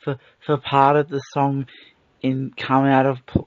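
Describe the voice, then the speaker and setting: A man's voice: medium pitch, monotone, unhurried. A young Australian man co-hosting a casual podcast, telling stories loosely with swearing and fillers. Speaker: podcast co-host. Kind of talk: casual chat. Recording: dull lo-fi sound.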